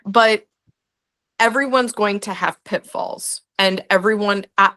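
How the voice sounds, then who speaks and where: A woman's voice: mid-range voice, a little slow, pushed and bright. A young woman, a guest historian, answering interview questions in a chatty way full of "like" and restarts.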